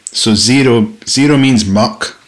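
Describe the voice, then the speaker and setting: A man's voice: mid-range voice, slow. A man chatting casually about music as a podcast co-host. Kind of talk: casual chat.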